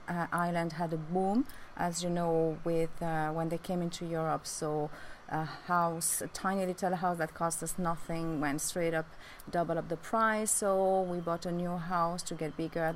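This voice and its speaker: A woman in her forties, a native French speaker with a French accent, telling her life story casually to camera from a rooftop, halting with many fillers. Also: fairly low pitch, a little hiss or hum. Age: 40s. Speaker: vlogger. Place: outdoors on a rooftop.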